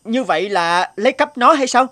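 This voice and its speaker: Young fairy-tale adventurer, earnest, exclamatory, high pitch, very lively. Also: male voice, moderate pace, full character voice. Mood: earnest, plotting. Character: eager young male villager adventurer, earnest and scheming. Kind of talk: animated fairy-tale dialogue.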